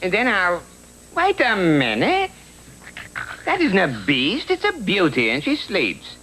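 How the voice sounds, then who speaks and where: A man's voice: high voice, wildly swooping pitch, takes it slowly, sharp tone, heavily acted. A man voicing a silly, pompous young prince in a comic fairy tale cartoon, with theatrical bravado. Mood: bold, pompous. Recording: old, noisy TV cartoon soundtrack.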